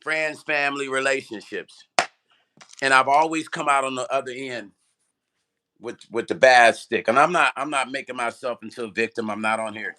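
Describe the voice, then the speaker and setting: Talkative man with a bright, strained voice. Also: mid-range voice, normal speed. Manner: loose, talkative, addresses viewers by name; clears throat often. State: strained, partly lost voice. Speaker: music vlogger and producer. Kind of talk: casual livestream chat.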